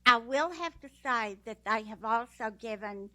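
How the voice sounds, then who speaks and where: Slow-spoken Texan woman, bright voice. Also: on the high side, lively intonation. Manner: slow, heartfelt, with fillers. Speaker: guide dog graduate. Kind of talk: graduation speech. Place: ceremony room.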